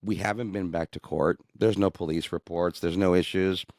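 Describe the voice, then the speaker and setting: Man, middle-aged, very deep voice, earnest, halting restarts, dry close recording. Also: dry recording. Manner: earnest, animated when indignant, many restarts. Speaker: guest sharing his divorce story. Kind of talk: candid conversational interview.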